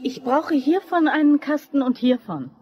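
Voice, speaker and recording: A woman's voice: sits high, lively intonation, normal speed, dull audio. A woman playing an eager, naive young woman in a German crime radio drama, chatty and insistent. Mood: brisk, businesslike. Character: eager young woman devoted to her boyfriend, scheming, upbeat.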